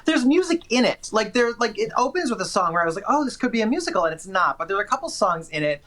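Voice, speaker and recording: A man's voice: high-pitched, big pitch swings, quick pace, fairly bright tone. A man working as a film critic, giving chatty, enthusiastic and opinionated movie reviews with emphatic delivery.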